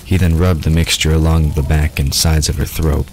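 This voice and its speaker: A man's voice: very deep voice, fairly even intonation, moderate pace, a little mellow, slight background noise. A man reading scripted fiction as the narrator of an audio drama.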